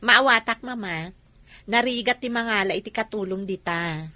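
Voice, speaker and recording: A woman playing a confident, affectionate young businesswoman in a radio drama, with an animated lilt. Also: medium pitch, normal speed, no echo, lightly acted.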